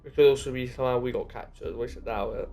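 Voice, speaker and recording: A man's voice: medium pitch, steady tone, fast, gravelly, dark tone, soft background music. A man giving casual live commentary as he plays through a game's story. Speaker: gamer reacting to a story quest.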